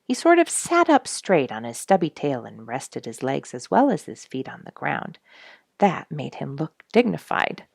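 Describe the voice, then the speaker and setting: A woman with a low, slightly gravelly voice reads a children's story aloud. Her delivery is expressive and highly varied in pitch, with emphasis on playful words. The sound is close and dry. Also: normal speed, dry recording. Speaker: storybook reader and host.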